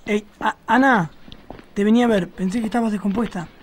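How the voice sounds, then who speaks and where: Casting: man as mocking young Argentine male bully, radio theatre, very high voice, heavy echo. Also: a little fast, a little hiss or hum, close to an everyday voice. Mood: cocky, scheming. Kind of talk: radio drama acting. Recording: very echoey hall reverb, music behind.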